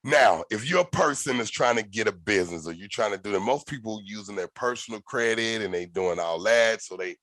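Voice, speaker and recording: A man with a low voice hosts a casual podcast chat. He is recorded close and dry, with no room sound, and he tells stories with lively energy, plenty of slang and swearing. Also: a little fast, few pauses, dry recording. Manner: animated, profane storytelling with slang. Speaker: podcast host.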